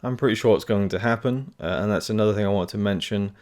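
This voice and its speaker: A man's voice: fairly low pitch, fast talker, darkish tone. A man hosting his own channel, giving a casual update to camera in a hesitant, rambling way, talking carefully around tooth pain. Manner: rambling, hesitant, many fillers and restarts. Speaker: film review channel host.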